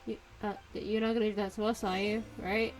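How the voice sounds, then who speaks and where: A woman's voice: medium pitch, flat delivery, brisk, over music, rough recording. A woman streaming a game, joking and goofy in casual let's play commentary. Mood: playful. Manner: goofy, joking, sings snippets.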